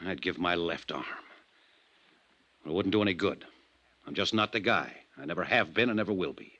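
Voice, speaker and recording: A man's voice: low voice, moderate pace, a bit bright, lo-fi sound. An actor playing a bitter, defensive businessman under suspicion, in earnest 1950s radio drama style. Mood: bitter, resigned.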